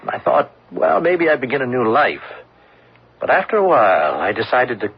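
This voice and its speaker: A man's voice: medium pitch, very animated, moderate pace, slightly rough, heavily acted. An actor playing a hesitant, wry swindler come home to face his debt, in a radio drama. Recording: dull lo-fi old radio recording.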